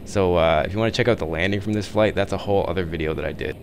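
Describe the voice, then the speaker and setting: Very deep, quick-talking male vlog narrator. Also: slight background noise. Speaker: vlog host. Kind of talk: casual voice-over narration.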